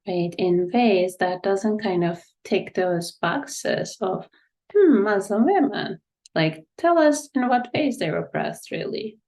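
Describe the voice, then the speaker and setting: A middle-aged woman from Turkey speaks with a Turkish accent. Her tone is mellow and warm, and her pitch sits in a middle range. She thinks aloud as she answers, hedging and filling her pauses. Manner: reflective, many fillers and hedges. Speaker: author and guest speaker. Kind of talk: academic Q&A answer.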